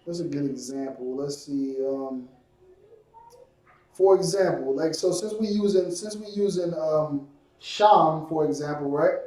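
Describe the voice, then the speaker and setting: A man teaching a Hebrew language class, drilling words slowly and often checking "you understand?". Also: on the high side, mellow. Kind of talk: classroom lesson, call and response. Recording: echoey room, mic far off.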